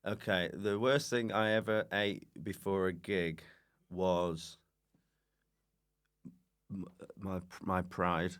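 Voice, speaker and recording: A man chatting casually as a comedian guest, telling stories in an animated, self-mocking way. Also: sits low, takes it slowly, long pauses, clean tone. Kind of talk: casual comic chat.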